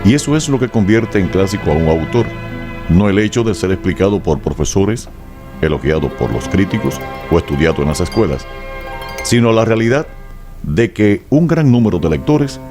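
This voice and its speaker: A man delivering scripted biographical radio narration in Spanish, measured and expressive, like a storyteller. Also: low-pitched. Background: faint music.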